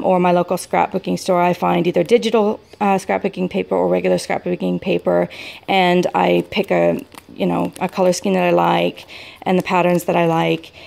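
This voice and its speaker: A low-voiced, very croaky American woman you would hear in a hobby video, meandering through her stationery piece by piece. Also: a little mellow. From United States. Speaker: vlogger showing a planner setup. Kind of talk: casual product walk-through.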